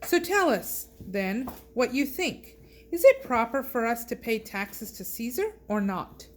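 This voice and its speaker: A woman reading scripture aloud with measured, deliberate phrasing. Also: fairly high pitch, expressive. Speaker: scripture reader and host.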